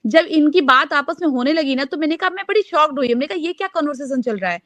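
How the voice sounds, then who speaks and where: A woman in an animated personal conversation on a recorded group call, repeating words for emphasis. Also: high voice, quick pace, no room sound. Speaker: caller on a group phone call.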